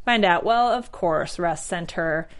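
A woman's voice: fairly low pitch, measured pace. Woman casually recapping a TV episode. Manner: frequent "like", voicing characters' lines in quotes. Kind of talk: casual chat.

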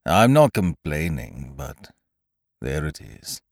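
A gloomy old grey donkey, put on by a man reading a storybook, his voice sunk low and muttered softly. He drags out each word in a mournful, self-pitying sigh, on a dry, close recording. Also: sing-song, takes it slowly, vocal fry, no room sound, full character voice. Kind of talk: audiobook character voice.

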